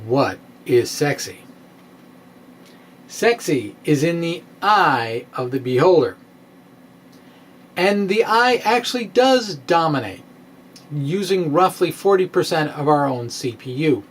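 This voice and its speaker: A middle-aged man giving a dramatic reading of a science blog in a wry, theatrical manner with pointed pauses, close to a microphone in a small room. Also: fairly high pitch, sing-song, slight background noise. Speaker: science blogger and presenter. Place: small indoor room with bookshelves. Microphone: microphone on a stand, close in front.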